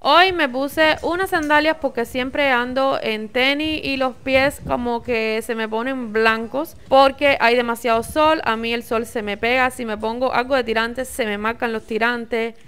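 A woman's voice: fairly high pitch, fairly even intonation, a little slow, few pauses, fairly bright tone, smooth. A young woman with a Cuban Spanish accent, narrating her vlog in a chatty, informal way. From Cuba. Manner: chatty and informal, sends kisses to viewers. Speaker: vlogger.

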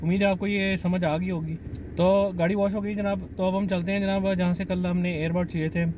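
A middle-aged man from Pakistan, chatting casually in his vlog as he explains things slowly and keeps addressing the viewer politely. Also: high-pitched, level tone, darkish tone, slight background noise. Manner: chatty, explains slowly, says "janab" often. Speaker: vlogger dad. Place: outdoors at a car wash and in a car.

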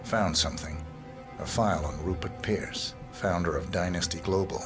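A male actor plays a cold, professional assassin in a video game. His low voice is terse and deadpan, giving away nothing as he reports in clipped phrases. Loud music sits behind him. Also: normal speed, lightly acted. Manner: clipped, deadpan, few words.

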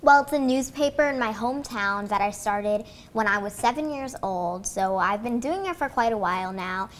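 Girl, young author, from Pennsylvania, higher voice, eager storytelling, faint rumble. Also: moderate pace. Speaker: guest author. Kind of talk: interview answers. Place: home kitchen, indoors.

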